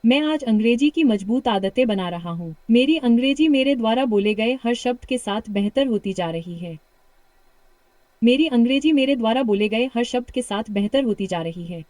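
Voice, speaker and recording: A woman with a British accent coaching a shadowing practice lesson, full of encouragement and reading practice sentences slowly and repeatedly. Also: on the high side, expressive, a little mellow, no room sound. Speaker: English speaking coach.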